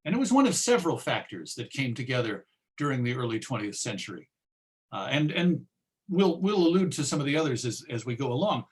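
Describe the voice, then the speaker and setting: A man's voice: mid-range voice, very animated, a little fast. A man presenting an informal illustrated lecture in a conversational, explanatory way.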